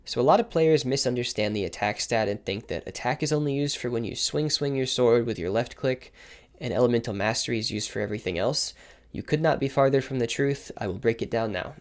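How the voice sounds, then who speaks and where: Slightly hoarse man explaining game mechanics. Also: medium pitch. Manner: quick, informal explainer with frequent asides and self-corrections. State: slightly hoarse from repeated takes. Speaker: game guide creator. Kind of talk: explaining game mechanics over gameplay footage.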